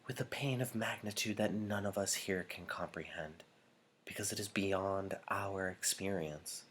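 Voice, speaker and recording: A man reading the part of a solemn woman leader who addresses her community formally and deliberately in an audiobook. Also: deep voice, a bit bright, a little hiss or hum. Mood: grave. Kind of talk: audiobook character dialogue.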